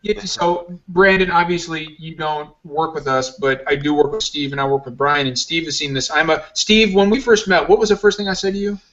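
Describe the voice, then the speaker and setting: A man's voice: a little high, fairly even intonation, brisk, hardly any pauses, a bit bright, dull audio. A man hosting a casual podcast, rambling and joking about himself. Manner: rambling, self-mocking, joking. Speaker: podcast host. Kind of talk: casual chat.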